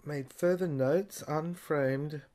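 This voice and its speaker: Guy playing investigator mumbling thru notes, slow n hesitant, thinking out loud, low-key audio drama. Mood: pondering. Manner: hesitant, muttering to himself, thinking aloud. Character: musing male investigator puzzling over someone's handwritten notes.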